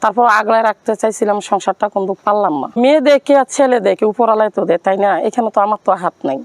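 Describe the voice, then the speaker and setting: Fast-talking Bangladeshi woman, plaintive and earnest. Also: mid-range voice, no echo. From Rangpur, Bangladesh. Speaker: interview guest telling her life story. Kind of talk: personal account and plea to viewers.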